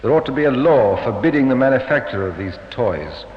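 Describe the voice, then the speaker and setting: A man's voice: medium pitch, animated, fairly quick, close to an everyday voice. A man acting a grave, echoey police inspector. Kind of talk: 1950s radio drama acting. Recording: echoey, muffled, noisy old radio recording.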